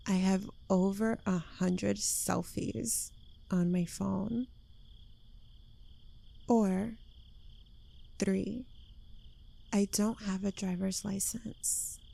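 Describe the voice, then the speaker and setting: Slow, smug witch girlfriend, acted by a woman. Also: mid-range voice, gravelly, warm tone, dry recording, heavily acted. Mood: playful, composed. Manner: teasing, smug, unhurried, drifting into whispers. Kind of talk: ASMR roleplay, soft intimate scripted acting. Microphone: close microphone.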